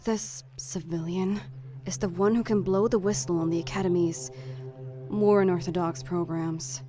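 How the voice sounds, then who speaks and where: A woman voicing a brisk, formal, scheming female staff aide, articulate and dryly businesslike, in a dramatic fandub. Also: fairly low pitch, steady tone, a crowd in the background, close to an everyday voice. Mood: stern, concerned.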